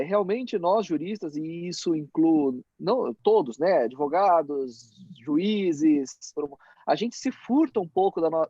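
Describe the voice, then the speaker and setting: Male academic moderator, talks on at length with tag questions, slightly higher voice, dry muffled call audio. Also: dark tone, no room sound. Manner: rambling, frequent "né?" tags. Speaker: mediator and law professor. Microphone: video call.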